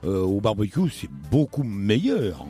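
A man from Portugal co-hosting a local radio show, bantering playfully and chiming in with quick remarks. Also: on the low side, very animated, dark tone, husky, faint music behind. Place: radio studio.

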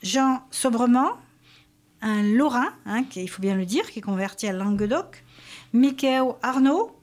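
A woman co-presenting a talk show on regional literature. She moves through a list of names at an unhurried pace, with frequent hesitations. Her voice sits a little high. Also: expressive, darkish tone. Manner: measured, listing names with hesitations. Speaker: radio co-presenter.

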